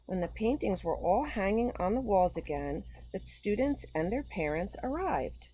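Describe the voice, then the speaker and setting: A woman reading a picture book aloud to young children in an expressive, simple storytelling manner. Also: medium pitch, moderate pace, slightly rough, rough recording. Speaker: children's storytime host, gymnastics coach.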